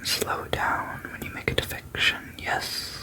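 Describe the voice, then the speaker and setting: A person reading fiction aloud entirely in a soft, unvoiced whisper, at a steady, low-key pace. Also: measured pace.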